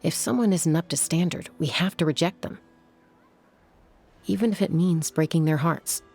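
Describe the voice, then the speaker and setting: A woman playing a cool, frank young sky rider in an English game dub, measured and assured. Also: sits low, creaky, a little mellow, slightly rough, faint music behind, heavily acted. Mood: serious.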